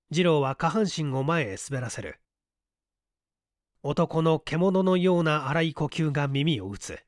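A man's voice: fairly high pitch, no room sound. Male audiobook narrator, dry close sound. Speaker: audiobook reader. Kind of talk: scripted narration of a mystery story.

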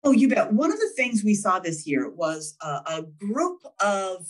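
A woman's voice: medium pitch, sing-song, measured pace. A middle-aged woman answering interview questions over a video call, upbeat and conversational, explaining with figures. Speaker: guest, state official. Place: home room, video call.

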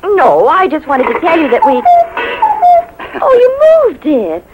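High, animated, tender young mother. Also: slow pace, narrow, tinny audio, over loud music, heavily acted. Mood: surprised, fond. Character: gentle, affectionate young widowed mother. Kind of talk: 1950s radio drama acting.

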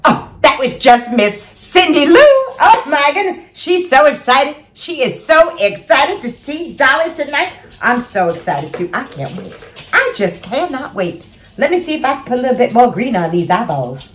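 A woman's voice: high voice, sing-song, moderate pace. A woman playing a chatty, giddy housewife and mother of seven who gushes and talks to herself while putting on makeup. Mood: excited. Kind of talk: comic character monologue.

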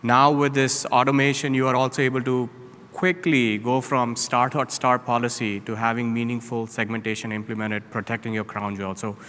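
A man's voice: mid-range voice, little pitch movement, normal speed, a bit bright. A man speaking emphatically as a panelist at a conference. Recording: reverberant hall.

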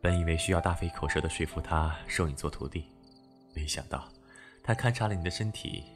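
Costume audio drama lead, male actor: aloof but devoted spirit man, low, restrained, dry delivery. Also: brisk, over music. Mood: cool, teasing.

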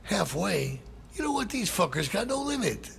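A man's voice: high-pitched, sing-song, moderate pace, gravelly, fairly bright tone, slight background noise, full character voice. A man playing a middle-aged, hot-tempered, foul-mouthed crime boss in a video game, exasperated and profane. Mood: suspicious, annoyed. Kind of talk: cinematic game dialogue.

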